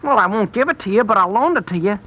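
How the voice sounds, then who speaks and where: A man's voice: sits high, animated, fast talker, bright, forceful tone, heavily acted. An actor playing a pushy, scheming teenage boy who wheedles his pal in a 1930s radio comedy. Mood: wheedling. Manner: insistent, wheedling. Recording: dull old 1930s radio broadcast.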